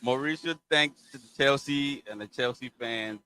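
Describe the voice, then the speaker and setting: A man's voice: medium pitch, normal speed, pushed and bright, smooth. A man co-hosting a casual football chat, who laughs as he retells stories. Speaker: podcast co-host.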